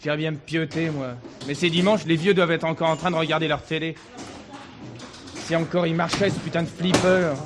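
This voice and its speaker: An actor playing a surly young small-town tough, grumbling and swearing in curt slang. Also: male voice, fairly high pitch, steady tone, brisk, close to an everyday voice. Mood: weary grumbling.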